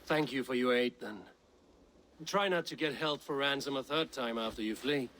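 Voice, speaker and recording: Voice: medium pitch, moderate pace, fairly bright tone, a little hiss or hum, natural voice. A man in a video game playing a blunt, commanding Viking warrior with a stern, scornful manner. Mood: steady, resolute. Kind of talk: video game cutscene acting.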